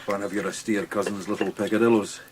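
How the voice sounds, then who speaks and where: A man playing a deep-voiced wry older Scottish doctor. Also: fairly even intonation, fast talker, noisy background, close to an everyday voice. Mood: teasing. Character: wry, teasing older Scottish doctor among old friends. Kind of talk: radio drama acting.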